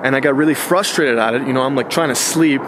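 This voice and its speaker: A man's voice: medium pitch, fast talker, background noise. A man in his thirties musing in a casual, slangy, chatty monologue for his vlog. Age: 30s. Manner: relaxed and chatty, with slangy asides. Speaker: vlogger.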